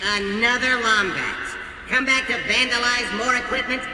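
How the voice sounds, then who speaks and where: An actor voicing a small, sour alien worker in a video game, sneering and sarcastic. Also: male voice, very high-pitched, moderate pace, echoey room, long reverb tail, recorded from a distance, noisy background. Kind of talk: video game cartoonish acting.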